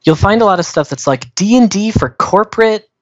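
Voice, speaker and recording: A man's voice: sits high, expressive, a little fast, dry recording. A dull, lo-fi, very dry recording of a man chatting as a podcast guest. His talk is informal, often checking in with the listener at the end of a phrase. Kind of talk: casual discussion.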